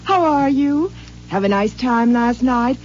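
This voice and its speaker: A doting young wife. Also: female voice, on the high side, animated, a little slow, a little mellow. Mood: adoring. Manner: sweet and adoring. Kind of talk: 1950s radio drama acting. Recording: dull old radio broadcast with hiss.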